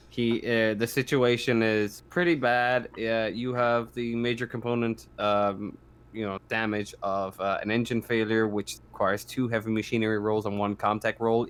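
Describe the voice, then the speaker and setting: A man's voice: fairly low pitch, fairly bright tone, clear, resonant tone. A man acting as game master of a tabletop roleplaying game, narrating casually and explaining rules in informal, sweary talk.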